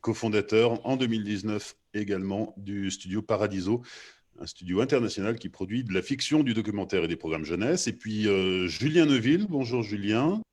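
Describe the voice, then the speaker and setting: Guy moderating a panel, lowish voice, kinda halting with lots of hesitations. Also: darkish tone. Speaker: host and moderator of a panel discussion. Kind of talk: live panel introduction and questioning.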